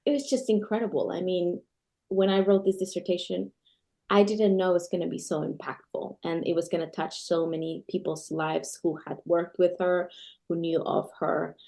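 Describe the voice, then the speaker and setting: Lowish-voiced woman from Peru telling her story. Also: steady tone, normal speed, vocal fry, mellow. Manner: enthusiastic, storytelling with occasional restarts. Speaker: guest, guitarist and scholar. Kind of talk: conversational interview answers.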